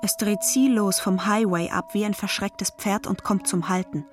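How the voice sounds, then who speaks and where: A woman narrating an audio drama in a deliberate, measured storytelling manner. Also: mid-range voice, fairly even intonation, music in the background.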